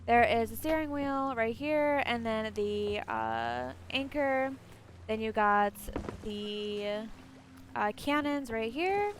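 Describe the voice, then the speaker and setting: A woman's voice: fairly high pitch, animated, slow. A woman hosting a casual game walkthrough, chatting informally and addressing viewers directly. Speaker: gameplay video host. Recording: poor home mic, faint noise.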